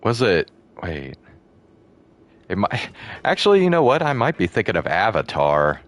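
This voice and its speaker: A man's voice: lowish voice, huge pitch swings, moderate pace. A young man streaming a game, chatting casually in a rambling, blunt way and swearing freely. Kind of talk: casual gameplay commentary.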